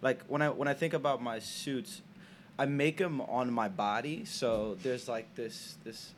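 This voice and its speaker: A man's voice: mid-range voice, a little hiss or hum. A young man from Senegal, an art student talking in a casual interview in a small radio booth, often restarting his sentences. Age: young adult. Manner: halting, many restarts and fillers.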